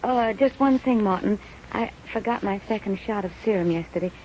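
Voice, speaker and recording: An actress playing a brave, self-effacing, devoted wife and assistant to a doctor in an old-time radio drama. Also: female voice, mid-range voice, a little fast, darkish tone, close to an everyday voice. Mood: tender, wistful. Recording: muffled, faintly noisy vintage radio recording.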